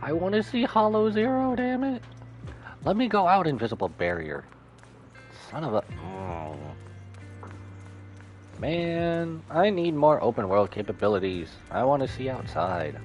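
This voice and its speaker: A man's voice: fairly high pitch, sing-song, a little slow, darkish tone, highs cut off. A man giving casual, slangy, exclamatory commentary while playing through a game. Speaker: streamer. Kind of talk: casual game playthrough commentary, reading some text aloud. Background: game music.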